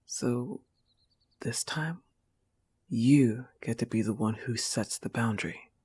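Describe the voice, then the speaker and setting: A woman's voice: rumbling low, slow pace, darkish tone, husky, heavily acted. A woman voicing a protective, affectionate young goth wolf-girl girlfriend in an intimate audio roleplay, coaxing and reassuring the listener with a firm manner. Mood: tender, supportive.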